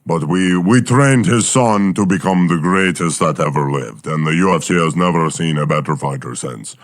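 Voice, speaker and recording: Igor, a man voicing a deep talking bear. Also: moderate pace, no echo, studio quality, natural voice. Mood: gruff boastful. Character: gruff male Russian talking bear, ex-war project. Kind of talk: improvised comedy sketch.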